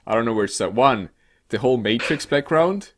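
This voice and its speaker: A man co-hosting a casual, sweary comedic news chat in a halting, rambling, sarcastic way. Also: mid-range voice, animated. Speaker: co-host of a weekly games show.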